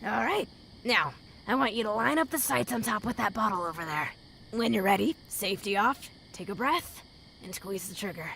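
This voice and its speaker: A woman playing a gruff, hot-tempered, battle-hardened wasteland mentor in an audio drama, with a gravelly voice and a blunt, sardonic, commanding manner. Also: medium pitch, lively intonation, normal speed, bright, forceful tone, slight background noise, poor audio. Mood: instructing.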